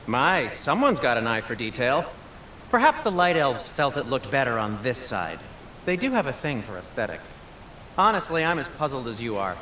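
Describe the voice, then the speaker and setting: A man playing a fussy, fastidious, chatty dwarf blacksmith in a video game, with animated, quick-witted delivery. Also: medium pitch, a little hiss or hum. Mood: wry, chatty. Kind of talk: video game cutscene acting. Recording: echoey space, music behind.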